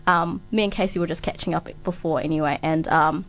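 A young woman hosting a casual student radio chat, relaxed and full of fillers. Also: sits low, a little fast, poor audio. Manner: relaxed, filler-heavy, laughs often. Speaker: radio host.

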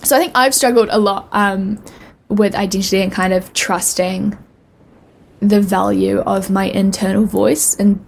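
A woman's voice: mid-range voice, fairly even intonation, measured pace, vocal fry, slight background noise. A young woman co-hosting a podcast, talking candidly and thoughtfully, often searching for the right word. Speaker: podcast co-host. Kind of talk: casual chat.

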